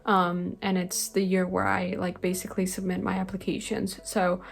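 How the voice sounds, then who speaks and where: A woman's voice: on the low side, completely flat delivery, normal speed, gravelly. A young woman whose native language is Spanish, talking earnestly to the camera from her bedroom, with frequent "like" and "um" fillers. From Spanish-speaking country. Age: young adult. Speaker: language-learning vlogger. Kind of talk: casual talking-head vlog. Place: bedroom, indoors. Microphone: webcam-style camera close in front.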